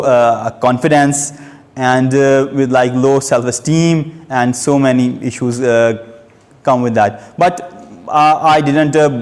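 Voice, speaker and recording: Young man, stammering speaker, slow and halting, hall reverb. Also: mid-range voice, a little mellow. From Pakistan. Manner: stammers, with frequent hesitations and repeated sounds. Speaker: club member giving a speech. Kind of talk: prepared personal speech.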